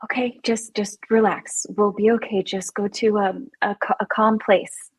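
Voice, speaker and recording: Woman acting a husky, take-charge camp counselor. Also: mid-range voice, fairly even intonation, unhurried, poor audio. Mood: soothing, shaky calm. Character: frightened, take-charge young female camp counselor, urgent and protective. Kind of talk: live table read of slasher script.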